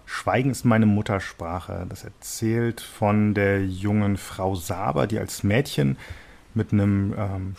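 A man's voice: lowish voice, vocal fry, darkish tone. A man interviewing a publisher, summarizing books and asking questions while searching for words.

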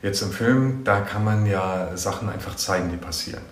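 Low-voiced man chatting in an echoey room. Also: normal speed, background noise. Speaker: interviewer. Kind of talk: casual conversational interview talk. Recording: roomy, echoing room sound.

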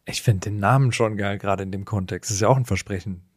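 A man's voice: lowish voice, fast talker, husky, dry recording, studio quality. A man talking through ideas as a podcast co-host in a casual, colloquial, explanatory way. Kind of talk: casual, reflective discussion.

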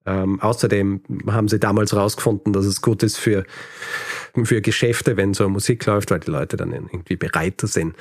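A man's voice: lowish voice, steady tone, moderate pace, dry recording. A man hosting a podcast, telling history in a conversational, explanatory way with light dry humour. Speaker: podcast host.

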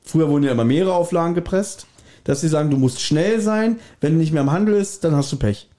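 Male podcast host, slightly high-pitched, conversational and explanatory. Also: quick pace, mellow. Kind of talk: casual podcast discussion.

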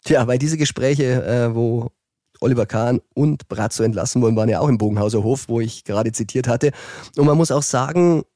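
Podcast host, male, mellow tone, wry and teasing, dry close sound. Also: mid-range voice, brisk, no room sound. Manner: wry, teasing, asks pointed questions. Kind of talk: casual sports podcast chat.

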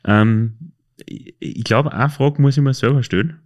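A man's voice: medium pitch, moderate pace, darkish tone. An Austrian man hosting a podcast, chatting casually and telling anecdotes in a hesitant, colloquial way, often correcting himself. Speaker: podcast host.

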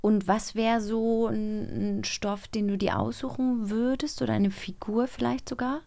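Female podcast co-host, average pitch, informal conversational read. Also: fairly even intonation, a little slow, creaky, dark tone. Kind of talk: casual chat.

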